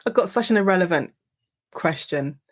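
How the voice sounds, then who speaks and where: A woman hosts a relaxed podcast interview and asks questions in a chatty way. Her voice rises and falls with a lively, animated pitch. She laughs easily between questions. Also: normal speed. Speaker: podcast host. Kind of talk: casual interview chat.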